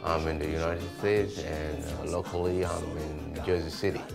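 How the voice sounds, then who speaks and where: A man's voice: extremely low pitch, little pitch movement, normal speed, creaky, music in the background. A man with a Senegalese accent talking informally in an interview as an artist, often repeating words and phrases. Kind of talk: casual interview talk.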